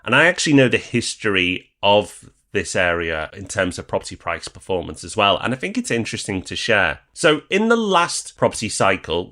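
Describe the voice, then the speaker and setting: Low-pitched Liverpudlian man co-hosting a podcast. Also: pushed and bright. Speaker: podcast co-host. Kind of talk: conversational property discussion.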